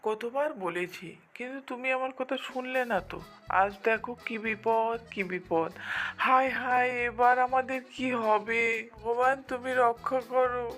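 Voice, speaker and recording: A woman's voice: a little high, fairly even intonation, a little slow, a bit bright, faint music behind. A woman voicing a fretful, complaining village wife and mother in a folktale cartoon. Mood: frightened, lamenting. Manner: complaining, lamenting. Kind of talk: Bengali children's folktale cartoon acting.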